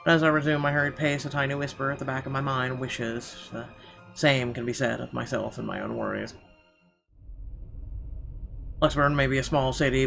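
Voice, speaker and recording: A man reading a visual novel aloud as a video host, in expressive, deliberate storytelling narration with the odd stumble. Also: mid-range voice, low-quality recording. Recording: clear background noise behind voice.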